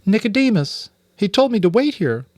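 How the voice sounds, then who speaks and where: A man voicing a polite, earnest adult female creature in an audiobook, puzzled and gently reassuring. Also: sits high, very animated, normal speed, dry recording, studio quality, voice pushed up.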